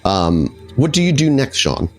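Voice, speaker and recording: A man's voice: mid-range voice, very animated, measured pace. A man running a tabletop horror game, narrating descriptively and searching for words as he improvises. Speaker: game master. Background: background music.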